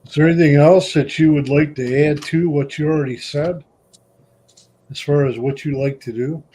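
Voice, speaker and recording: A man's voice: fairly high pitch, steady tone, moderate pace, warm tone, slight background noise. Encouraging man giving friendly advice. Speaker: group leader asking questions. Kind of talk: casual group chat.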